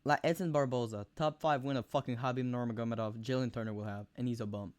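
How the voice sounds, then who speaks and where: A young man with a thick Quebec French accent giving rambling, profane fight predictions on camera, full of fillers and sudden animated outbursts. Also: medium pitch, fast, no room sound. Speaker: MMA video host. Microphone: camera mic, close.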